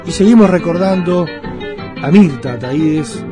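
Man, radio presenter, slow scripted delivery, guitar music behind. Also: on the high side, lively intonation.

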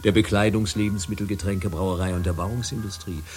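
An actor playing a stern official who reads out a long formal list of charges in a radio play. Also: male voice, deep voice, steady tone, fairly quick, a little mellow, slight background noise, close to an everyday voice. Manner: formal, listing, bureaucratic.

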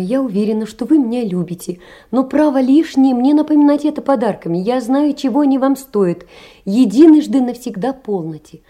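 A frail, gentle young woman of small means in a literary audio drama. Her voice is a little high yet very soft and mellow. She speaks earnestly, with a plaintive delicacy. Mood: pleading. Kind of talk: Russian stage drama, literary monologue.

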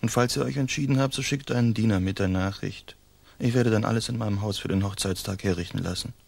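A man's voice: fairly low pitch, fast, vocal fry, a little mellow, dry recording. An actor playing a languid, faint-hearted young nobleman in a radio drama, polite and formal in manner. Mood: courtly. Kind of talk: German radio drama acting.

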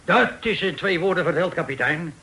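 A man's voice: fairly high pitch, animated, moderate pace, sharp tone, husky, full character voice. A man acting a talkative, folksy Dutch sea captain of forty-five in an old radio play. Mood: chatty, respectful. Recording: old radio recording with hiss.

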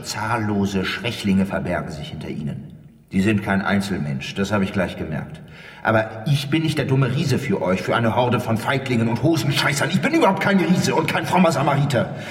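An actor playing a proud, scornful middle-aged bodyguard in a radio drama, blunt and declamatory in delivery. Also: male voice, fairly low pitch, lightly acted. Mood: mocking, blunt. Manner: blunt, emphatic, declamatory, mocking. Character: gruff, scornful broad-shouldered professional bodyguard, proud and contemptuous. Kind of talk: theatrical radio drama dialogue. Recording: echoey hall, distant from the mic.

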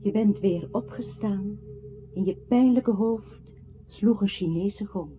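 An actress in a radio play voicing a solemn, poetic narrator with a measured, literary delivery. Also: female voice, medium pitch, faint music behind, natural voice. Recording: thin phone-like radio sound, faint noise.

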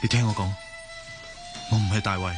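A man's voice: on the low side, fairly even intonation, brisk, close to an everyday voice. A man playing an urgent, lovestruck young man in a melodramatic Cantonese radio drama, with a Hong Kong accent. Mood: earnest, pleading. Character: ageless young man regaining past life, lovestruck, frantic. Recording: music under the voice.

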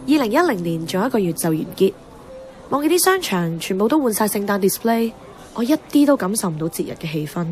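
An actress playing a self-deprecating, wistful young career woman in a Hong Kong Cantonese radio drama, expressive and colloquial with English words mixed in. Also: mid-range voice, fast, soft background music, close to an everyday voice. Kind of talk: radio drama dialogue and monologue.

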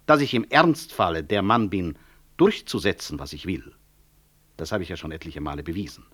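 An actor playing a proud, stubborn physician who runs a hospital, speaking with dry irony and blunt courtesy in a 1960s German radio drama. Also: male voice, sits low, expressive, moderate pace, fairly bright tone, slightly rough, full character voice. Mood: stubborn, wry.

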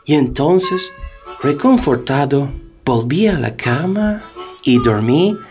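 An actor playing a pious, self-righteous American president in a solemn, sanctimonious radio drama monologue. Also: male voice, mid-range voice, animated, slow, a little mellow, faint music behind. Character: pious, self-righteous male US president recounting divine guidance.

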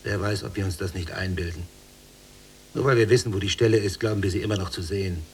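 An actor playing an embittered, suspicious younger prisoner in a literary radio play, brooding and sardonic in manner. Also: male voice, deep voice, quick pace, darkish tone, a little hiss or hum. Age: young adult.

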